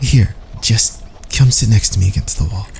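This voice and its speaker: Male actor as a teasing young fantasy prisoner, intimate ASMR, low creaky voice, breathy playful asides, unhurried, faint background noise. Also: warm tone. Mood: pleading, warm. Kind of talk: intimate ASMR boyfriend roleplay.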